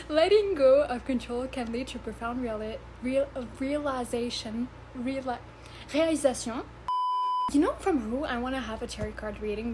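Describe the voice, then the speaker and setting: Woman in her twenties, casual vlog rambling, giggly, trips over words, non-native english speaker, noisy recording. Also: on the high side. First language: not English; English is her third language. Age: 20s. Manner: chatty, giggly, swearing, stumbling over words. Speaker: vlogger.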